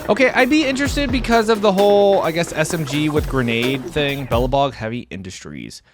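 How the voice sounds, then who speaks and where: A man's voice: fairly high pitch, very animated, fairly quick. A man hosting a reaction video, giving casual, musing, rambling commentary. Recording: trailer music behind the voice.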